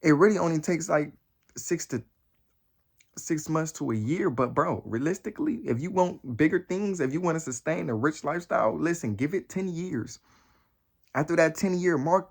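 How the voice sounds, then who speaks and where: A man's voice: lively intonation, moderate pace, gravelly. Emphatic nineteen-year-old man, slangy and repetitive. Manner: voice climbs high and loud when pressing a point. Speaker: motivational vlogger. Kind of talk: motivational talk to camera.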